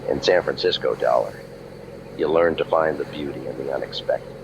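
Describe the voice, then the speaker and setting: A man acting a hardboiled waterfront detective with a very deep, low voice. He is cynical and deadpan, tossing out dry wisecracks in old-time radio noir style. The sound is muffled, with clear background noise. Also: normal speed. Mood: dry, sardonic. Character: cynical, world-weary hardboiled male waterfront private eye.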